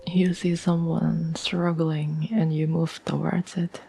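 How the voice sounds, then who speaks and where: A woman playing a caring, tender girlfriend in an intimate ASMR roleplay, speaking in a soft, breathy whisper with gentle, halting pauses. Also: sits low, level tone, full character voice. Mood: warm, grateful. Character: caring, tender girlfriend reassuring her troubled partner.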